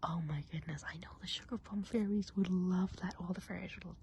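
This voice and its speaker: A woman voicing a chatty woodland witch in an ASMR roleplay, whispering softly and breathily in a gossipy, confiding way. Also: on the low side, fairly even intonation, a little fast, a little hiss or hum, natural voice. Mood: dreamy, musing. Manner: gossipy, confiding, hesitant casual phrasing. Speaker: ASMR performer.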